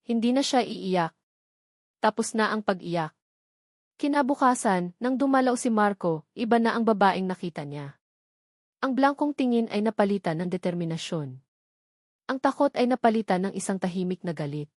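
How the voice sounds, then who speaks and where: A woman's voice: mid-range voice, lively intonation, moderate pace, a little mellow, no echo, studio quality. A woman reading a scripted dramatic story as its narrator.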